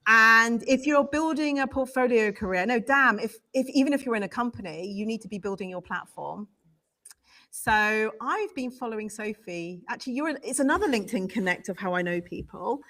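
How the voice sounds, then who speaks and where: British woman host, slightly high voice, bubbly and rambling. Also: normal speed, bright, forceful tone. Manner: bubbly, rambling, playful word slips. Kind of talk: casual live-stream interview. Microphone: video call.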